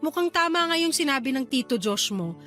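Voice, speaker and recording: A devoted young aunt in a radio drama. Her voice is high, affectionate and expressive, with a playful teasing streak. Soft music sits faintly underneath. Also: brisk. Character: caring, playful young aunt raising her small niece. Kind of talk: Tagalog radio drama acting.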